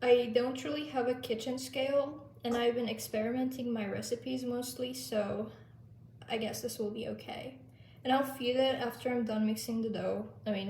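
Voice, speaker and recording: A woman's voice: fairly high pitch, a little mellow, reverberant, recorded from a distance, a little hiss or hum. A young woman, chatting casually in her home kitchen as she bakes, with many fillers. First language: Turkish. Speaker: vlogger. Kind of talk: casual baking vlog narration.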